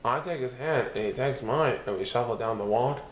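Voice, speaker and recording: A man reading a picture book aloud as a storyteller, expressive and sing-song, playing with repeated words. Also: mid-range voice, a little fast. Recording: roomy echo with faint background noise.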